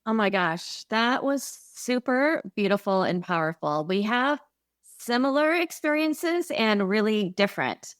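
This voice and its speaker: A woman's voice: a little high, expressive, a little slow, clear, resonant tone, no echo. Midwestern woman co-host, reflective and earnest, dry close mic. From American Midwest. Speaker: podcast co-host. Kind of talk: conversational podcast chat.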